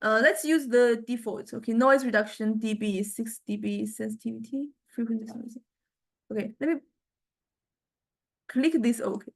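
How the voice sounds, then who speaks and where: A woman lecturer giving an informal software demonstration, explaining haltingly and often checking in with listeners. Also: on the high side. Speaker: university lecturer.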